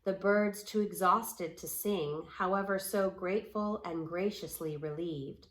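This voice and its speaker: A woman reading poetic children's stories aloud in a measured, expressive way with deliberate phrasing. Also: on the low side. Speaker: storybook reader. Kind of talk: storybook read-aloud in rhyming, poetic prose.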